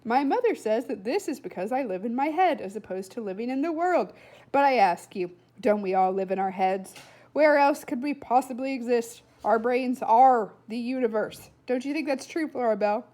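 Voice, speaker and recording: A woman's voice: a little high, lively intonation, moderate pace, warm tone, close to an everyday voice. A woman voicing an unflappable, philosophizing storybook boy who speaks in a formal, wordy, pedantic way. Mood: philosophical, emphatic.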